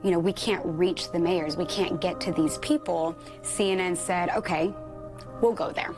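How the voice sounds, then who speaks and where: A woman's voice: lowish voice, moderate pace. A woman from Puerto Rico, a news correspondent giving a personal account in an interview over music.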